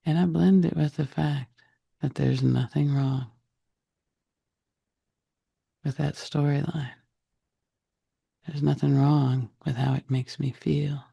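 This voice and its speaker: A man leading a guided meditation in a soft, breathy, husky voice, speaking deliberately in short phrases with long pauses. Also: on the high side, steady tone. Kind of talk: guided meditation, slow soothing narration.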